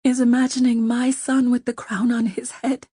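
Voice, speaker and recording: A woman's voice: on the high side, level tone, moderate pace, dark tone, husky, dry recording, studio quality, pitched above the performer's own voice. A woman reading an audiobook, voicing a frightened noblewoman who pleads in trembling whispers. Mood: desperate. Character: frightened, desperate noblewoman, grief-stricken and pleading.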